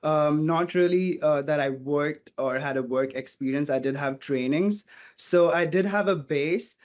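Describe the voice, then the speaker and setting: A man's voice: on the high side, unhurried, darkish tone, lo-fi sound. A man from India with a Portuguese mother tongue, a hospitality student speaking earnestly as an interview guest, thinking aloud as he goes. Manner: earnest, thinking aloud with frequent "you know". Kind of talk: casual interview talk.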